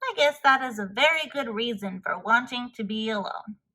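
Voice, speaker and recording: A woman's voice: on the high side, animated, normal speed, bright, forceful tone, low-quality recording. A woman voicing an anxious, devoted male toad in a children's story, earnest and emphatic. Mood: downcast.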